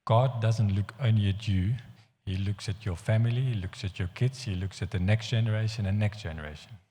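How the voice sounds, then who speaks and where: Deep-voiced Dutch man preaching in a hall. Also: warm tone. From Netherlands. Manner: earnest, halting with restarts. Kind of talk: sermon. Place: church hall. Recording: long hall reverb.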